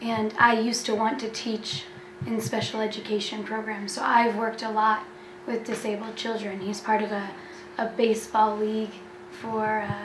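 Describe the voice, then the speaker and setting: A woman's voice: medium pitch, little pitch movement, moderate pace. A young American woman asking questions and chatting casually as an interviewer on location. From United States. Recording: echoey with clear ambient noise.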